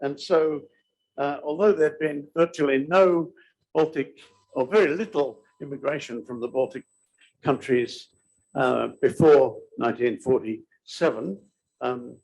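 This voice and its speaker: A man gives an academic conference talk, his voice carrying a gravelly edge. He talks at a middling pitch and stops often to search for words with ums and uhs. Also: darkish tone, rough recording. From Australia. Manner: hesitant, many ums and uhs. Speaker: conference speaker.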